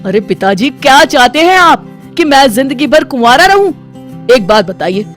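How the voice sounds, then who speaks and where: A woman voicing an excitable, stubborn young man in a dramatic, emotional story style. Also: high voice, normal speed, soft background music, pitched above the performer's own voice. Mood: insistent.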